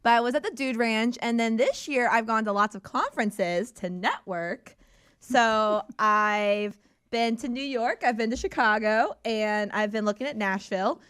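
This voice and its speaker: A young woman chats on a radio show with a voice sitting a bit high. She is playful and laughs often as she talks. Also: unhurried, fairly bright tone, clear, resonant tone. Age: young adult. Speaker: intern. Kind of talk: casual chat. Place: radio studio.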